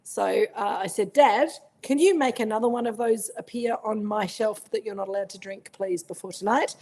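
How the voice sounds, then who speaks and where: A middle-aged Australian woman speaks into her laptop mic at home, hosting a live online tasting. Her voice sits at a mid pitch with a gravelly, croaky edge. She is chatty and friendly, calling out viewers by name between remarks. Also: moderate pace. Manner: chatty, greets viewers by name, warm asides. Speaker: winemaker hosting a virtual tasting. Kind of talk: casual livestream chat.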